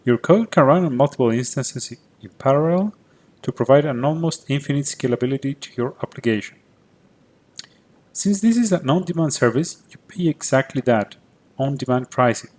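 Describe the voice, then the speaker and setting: A man from Cyprus, a native Greek speaker with a Greek Cypriot accent, giving a prepared conference talk in a clear, methodical way. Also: medium pitch, lively intonation, moderate pace, darkish tone. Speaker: conference presenter.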